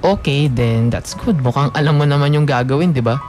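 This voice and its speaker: A woman's voice: rumbling low, a little fast, darkish tone. A woman playing a cocky, laid-back rich male college student in a radio drama, with a Filipino accent and some English mixed in. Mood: breezy, teasing. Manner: nonchalant, defensive, mixes Tagalog and English. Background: faint music.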